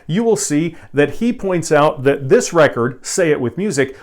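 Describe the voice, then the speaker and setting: A man's voice: on the high side, darkish tone. A man presenting as a video host in an informal explainer style, with a wry, conversational manner. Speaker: video host and record collector.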